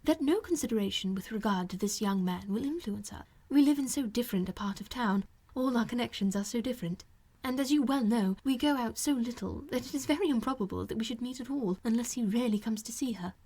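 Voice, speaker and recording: A woman voicing a sensible, kindly married aunt in an audiobook, elegant and composed, with measured, reasonable delivery. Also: on the high side, mellow, slightly rough. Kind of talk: audiobook character voice.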